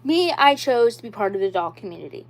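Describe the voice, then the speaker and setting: A woman's voice: medium pitch, very animated, a little fast. A woman in her twenties talking to viewers of her livestream about collecting dolls. She rambles, repeats herself, and leans on "like" and "you know" as she insists on her point. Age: 20s. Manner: rambling and repetitive, with many "like" and "you know" fillers; earnest and insistent. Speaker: doll YouTuber. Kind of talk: casual livestream talk.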